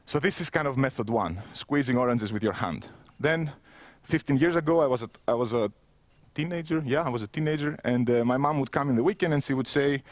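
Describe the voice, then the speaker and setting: A man's voice: medium pitch, fairly quick, dry recording. A man in his thirties with a Greek accent, giving a self-mocking conference keynote while standing in an empty indoor room on a headset mic. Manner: self-mocking, conversational, with frequent restarts. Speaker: keynote speaker.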